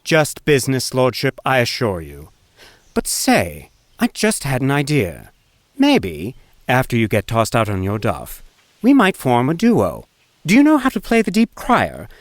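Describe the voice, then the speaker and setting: Sly, cheeky minstrel, very animated. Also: male voice, mid-range voice, unhurried, no echo. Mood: teasing. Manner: casual, teasing, mock-deferential. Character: sly, cheeky, low-class male street minstrel. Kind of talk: full-cast audiobook acting.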